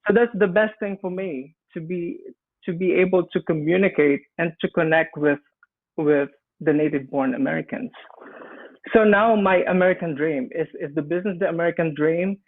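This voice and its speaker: A man from the Philippines with a Filipino accent, earnestly telling his immigration story on a panel, often restarting his sentences. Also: a little high. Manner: earnest, halting with frequent restarts. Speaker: immigrant small business owner. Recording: tinny phone-like line.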